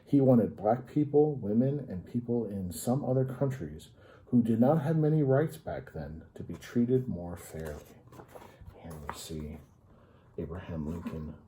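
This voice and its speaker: American male storyteller, low-pitched and very mellow, reading to kids with explanatory asides. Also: lively intonation, a little hiss or hum, rough recording. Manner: explanatory, pausing to add simple clarifications. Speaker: storyteller reading a children's history book aloud. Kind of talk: read-aloud storytelling with informal asides to young listeners.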